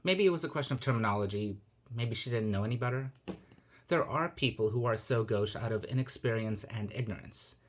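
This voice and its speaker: A man raised in Nigeria and the United States reading his own reflective personal essay in a measured, earnest manner. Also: mid-range voice, a little hiss or hum. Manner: measured, earnest, thoughtful delivery.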